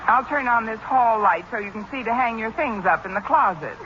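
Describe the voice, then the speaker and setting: An actress playing a quick-witted, wry woman who talks briskly and expressively in a 1940s radio sitcom. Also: a little high, animated, close to an everyday voice. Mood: pleasant. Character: quick-witted, wry adult woman schoolteacher, cool under pressure. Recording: muffled, very noisy 1940s radio recording.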